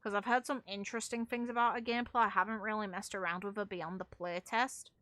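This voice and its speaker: A woman's voice: medium pitch, steady tone, fast talker, fairly bright tone, no echo. A woman giving casual, opinionated video game commentary as a streamer, with some casual swearing.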